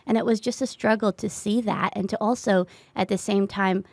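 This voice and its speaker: A woman's voice: mid-range voice, steady tone, normal speed, a little mellow, no echo. A Philippines-born woman whose first language is Tagalog, earnestly telling a personal story in a conversational interview.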